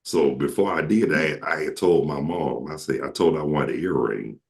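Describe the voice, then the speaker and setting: A man with a deep voice that carries, hosting a casual video chat and telling jokey stories. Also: normal speed. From Atlanta, Georgia, USA. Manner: jokey storyteller who acts out quoted voices. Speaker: host. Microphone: video call.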